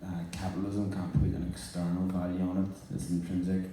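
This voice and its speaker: Deep-voiced man in echoey hall. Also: monotone, a little fast, recorded from a distance, background noise. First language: Irish. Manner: cheeky, then earnest and reflective. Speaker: panel guest, rapper. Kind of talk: live onstage panel talk. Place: large indoor venue before an audience.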